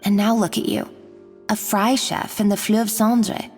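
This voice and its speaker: A woman's voice: medium pitch, flat delivery, a little slow, a little mellow, big-room sound, soft background music, close to an everyday voice. An actress playing a loyal, earnest female restaurant worker in a video game, speaking insistently. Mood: earnest, aggrieved.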